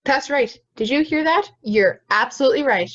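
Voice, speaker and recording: A woman playing a gruff, bitter parent on a jury in an amateur audio drama. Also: high voice, lively intonation, moderate pace, highs cut off. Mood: insistent. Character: gruff, bitter parent juror estranged from a tough kid.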